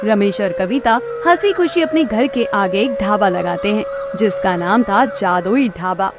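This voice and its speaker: A woman's voice: medium pitch, animated, unhurried, very noisy. A woman reading scripted narration for a children's moral story as the storyteller.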